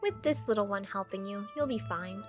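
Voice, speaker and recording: A woman playing a gentle but firm, mysterious young female master and fighter in a video game fan dub. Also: mid-range voice, expressive, moderate pace, natural voice. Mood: gentle, composed. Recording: music under the voice.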